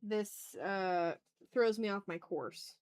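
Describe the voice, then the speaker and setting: A woman with a mid-pitched voice hosts a casual livestream. She rambles informally, half talking to herself and half to viewers, with the odd mild swear. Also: slow, clear, resonant tone. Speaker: art livestream host. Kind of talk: casual rambling chat while drawing.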